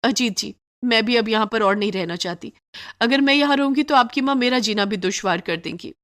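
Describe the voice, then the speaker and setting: A woman's voice: a little high, fairly quick, fairly bright tone, natural voice. A woman voicing a haughty, spoiled rich young wife who complains indignantly, in a Hindi moral story cartoon.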